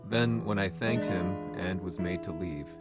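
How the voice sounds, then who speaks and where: Brooding, low-voiced journalist narrator. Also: male voice. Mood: grave, reflective. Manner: measured, serious, noir-like storytelling. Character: brooding male investigative journalist narrating his findings. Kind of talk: scripted first-person game narration. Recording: voice-over with loud guitar music.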